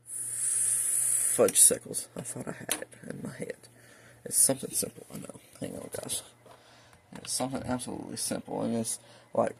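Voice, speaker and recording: A man's voice: mid-range voice, vocal fry, a little mellow, slightly rough. An American man giving a casual show-and-tell vlog in a folksy, rambling way, muttering asides to himself as he goes. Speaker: hobbyist video host. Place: indoors in a room at home.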